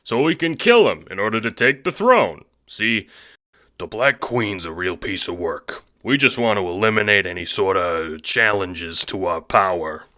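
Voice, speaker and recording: A man playing a big, gruff but friendly and bashful henchman in an audio drama. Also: on the low side, lively intonation, moderate pace, sharp tone. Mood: amiable.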